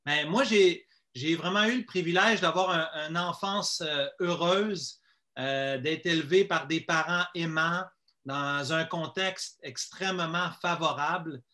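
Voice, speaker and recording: Bright, higher-pitched Quebec man telling his story. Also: a little slow. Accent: Quebec French. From Quebec, Canada. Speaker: interview guest giving a testimony. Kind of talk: conversational personal storytelling.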